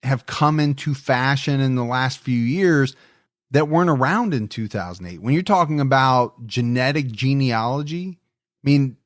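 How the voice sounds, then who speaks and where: A man's voice: mid-range voice, no echo, clean studio sound. A man hosting a true-crime podcast in casual, earnest chat, often repeating words as he stresses a point. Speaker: podcast host.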